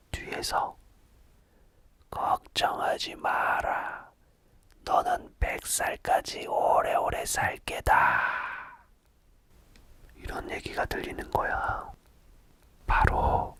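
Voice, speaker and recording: A man softly whispering a bedtime folk tale in a slow, hushed manner. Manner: soft slow whisper throughout. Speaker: storyteller.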